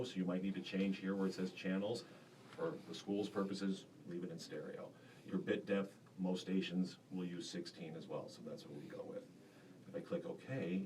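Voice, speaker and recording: Male studio teacher, very low and deep voice, relaxed and chatty, heard from off-mic with faint hiss. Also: recorded from a distance. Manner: casual, many fillers. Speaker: broadcasting instructor. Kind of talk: classroom demonstration. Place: school radio studio.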